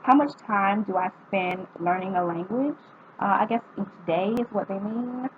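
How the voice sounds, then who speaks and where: A woman's voice: mid-range voice, moderate pace, a little hiss or hum. Young woman vlogger, tinny phone-like audio. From North Carolina, United States. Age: 20s. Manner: reads questions aloud, then answers with frequent ums. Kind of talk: casual answering of tag questions.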